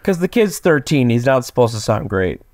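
A young man, a tenor with a voice lowered from just waking, talking casually and haltingly as an actor being interviewed. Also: big pitch swings, a little mellow, no echo, clean studio sound. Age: young adult. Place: plain small room indoors, video call.

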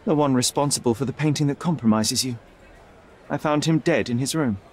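An actor playing a stern young detective in a video game, cold and righteous, speaking in a clipped, accusatory, sardonic manner. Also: male voice, medium pitch, a little fast, a little hiss or hum, natural voice. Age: young adult. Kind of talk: video game cutscene acting.

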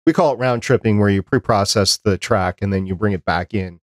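A middle-aged man with a lowish voice hosts an interview podcast. He speaks conversationally, in a measured, explanatory way. The sound is dry and close, from a desk mic in a home studio. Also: dry recording. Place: small home studio room, indoors. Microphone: close desk microphone with headphones.

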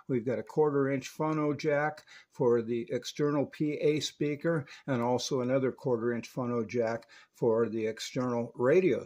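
A man's voice: medium pitch, darkish tone. A man giving a hands-on technical equipment review, explaining each detail methodically as a presenter.

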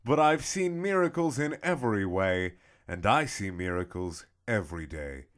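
A man's voice: on the low side, lively intonation, fairly bright tone. Young guy doing a dead serious dramatic reading, voice swooping all over the place. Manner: theatrical, swooping emphasis with long pauses. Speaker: comedic reader. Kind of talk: mock-dramatic poetry reading.

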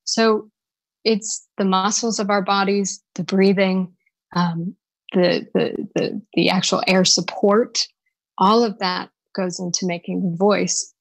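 A woman's voice: medium pitch, fairly even intonation, slow, vocal fry, no room sound. A woman voice and dialect coach answering interview questions on a live podcast, thoughtful and searching for words as she explains.

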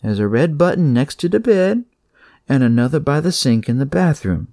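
A man's voice: on the high side, very animated, moderate pace, vocal fry, dark tone, dry recording, clean studio sound, pitched above the performer's own voice. A man voicing a friendly female night nurse in a horror audiobook, familiar and reassuring.

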